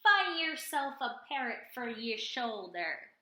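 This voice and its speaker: Woman acting a bossy pirate rabbit captain, bright voice. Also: fairly high pitch, very animated, takes it slowly, roomy sound, exaggerated voice. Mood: commanding. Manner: barking orders.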